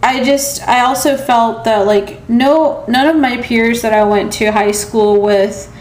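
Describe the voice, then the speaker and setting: A woman's voice: fairly high pitch, fairly even intonation. A young woman with an American accent rambles hesitantly through a casual personal vlog, recorded at home on a device held at arm's length. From South Carolina, United States, originally Pakistan. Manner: hesitant, rambling, halting with long pauses. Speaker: vlogger. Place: small indoor room at home with shelves. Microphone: camera or phone at arm's length. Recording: echoey small room.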